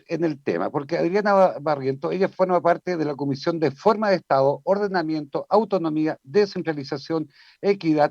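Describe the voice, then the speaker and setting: A man's voice: a little high, gravelly, darkish tone, dry recording. A man hosting a radio interview, asking questions animatedly and tripping over his words now and then. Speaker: radio host. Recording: dry, slightly dull sound.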